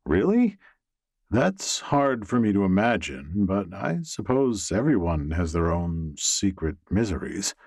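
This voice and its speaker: A man's voice: low voice, very animated, unhurried, vocal fry, a little mellow, no room sound, studio quality, full character voice. A man playing a curious, caring young man in an audiobook, speaking in an earnest manner. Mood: surprised, curious.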